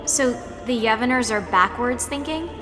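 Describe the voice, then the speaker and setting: Game drama teen female actor: cheeky, questioning, judgmental, sarcastic edge, clear music bed underneath. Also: fairly high pitch, moderate pace, lightly acted. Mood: scornful. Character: skeptical, cheeky seventeen-year-old, blunt and judgmental. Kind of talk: acted audio drama dialogue and narration. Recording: music behind the voice.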